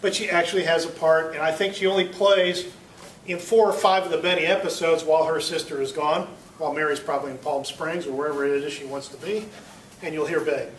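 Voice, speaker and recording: A man's voice: on the high side, fairly even intonation, brisk. An American man giving an informal introduction as a presenter at a club meeting. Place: meeting room. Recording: echoey room, distant mic, faint noise.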